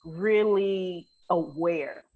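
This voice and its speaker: A woman's voice: lowish voice, extremely slow, mellow. A woman with an American accent hosting a casual interview, affirming her guest in an emphatic, colloquial way. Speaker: podcast host.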